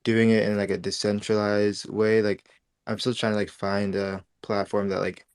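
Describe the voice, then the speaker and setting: A man's voice: lowish voice, level tone, moderate pace, clear, resonant tone, dry recording, studio quality. A man speaking casually and hesitantly as he asks questions, often filling with "like". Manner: hesitant, frequent "like". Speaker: participant asking questions. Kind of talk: casual chat.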